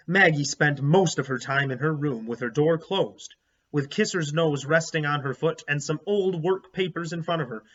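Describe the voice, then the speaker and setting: A man's voice: on the high side, fairly bright tone. A man reading a children's book aloud like a teacher, expressively and with explanatory asides. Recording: slightly dull, lo-fi sound.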